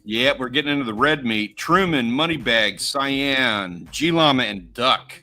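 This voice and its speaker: A man's voice: medium pitch, expressive, a little slow, sharp tone. An American man co-hosting a casual outdoors livestream, chatty and laid-back as he reads out viewer names and runs polls. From United States. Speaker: livestream co-host.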